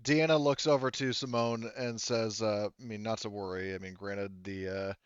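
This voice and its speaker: A man narrating and voicing dialogue as game master of a tabletop roleplaying game, with halting phrasing. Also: on the low side, steady tone, clean tone, dry recording, lo-fi sound.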